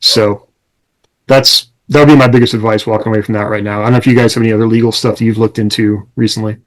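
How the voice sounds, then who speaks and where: A man's voice: fairly low pitch, steady tone, fast talker, poor audio. An American man talking casually as a podcast host, explaining things conversationally with examples and quoted lines.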